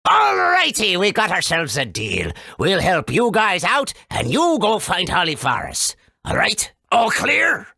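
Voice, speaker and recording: Game creature boss: gruff and excitable, sharp gravelly voice, swooping pitch. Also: male voice, measured pace, hardly any pauses, no echo, clean studio sound, full character voice. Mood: businesslike. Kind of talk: video game character acting.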